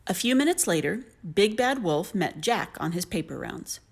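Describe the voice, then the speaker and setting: A woman narrating a children's story in an expressive storytelling manner. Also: medium pitch, normal speed, gravelly, husky.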